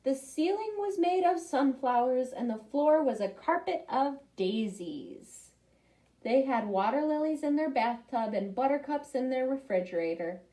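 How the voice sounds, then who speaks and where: A young woman reading a picture book aloud to children, expressive, with little asides to her listeners. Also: sits high, unhurried. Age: young adult. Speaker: library storytime reader.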